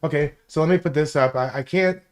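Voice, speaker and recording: A man's voice: a little high, brisk. A man from Florida hosting a casual live stream, rambling, greeting chat viewers by name and swearing freely. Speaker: livestream host.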